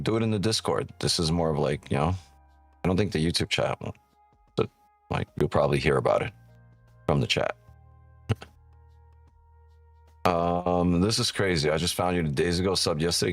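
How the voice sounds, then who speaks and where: Very deep-voiced man talking trading live. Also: no room sound. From Ukraine. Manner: casual and profane, keeps asking "right?". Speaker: day-trading streamer. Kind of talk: live-stream trading commentary, reading chat aloud.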